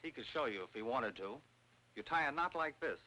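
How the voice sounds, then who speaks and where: An actor playing a doubting investigator in a film noir, wry and needling in manner. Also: male voice, medium pitch, animated, brisk, pushed and bright. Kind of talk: 1940s film noir acting. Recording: faintly noisy old film soundtrack.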